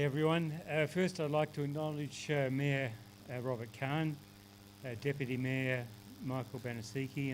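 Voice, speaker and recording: An older man with an Australian accent giving a public ceremony speech, pausing often with fillers and restarting his words. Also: medium pitch, noisy background. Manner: halting, many fillers and restarts. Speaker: Australia Day ambassador speaker.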